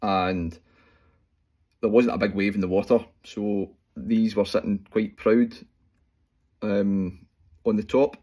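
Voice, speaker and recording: Scottish guy in his 40s doing a fly tying tutorial at home, low husky voice, laid back, lots of ums, phone close up. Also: takes it slowly, pauses often. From Scotland. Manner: relaxed, explains step by step with fillers while working. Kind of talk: casual talk-through tutorial. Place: small room at home, indoors. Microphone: phone camera close up.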